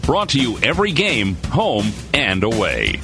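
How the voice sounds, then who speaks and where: A male announcer reading promos very slowly, his pitch swooping widely with every phrase. Music plays clearly underneath. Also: huge pitch swings. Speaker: station announcer.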